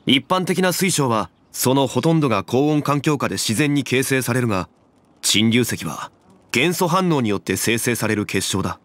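An actor playing a composed, dignified, knowledgeable man in an anime game, speaking formally and deliberately. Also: mid-range voice, animated, a bit bright, dry recording, close to an everyday voice. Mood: measured, explanatory.

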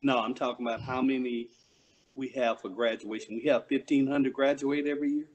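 A man at a school board meeting on a video call, asking questions in a halting way. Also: mid-range voice, steady tone, rough recording. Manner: slow, halting, tentative.

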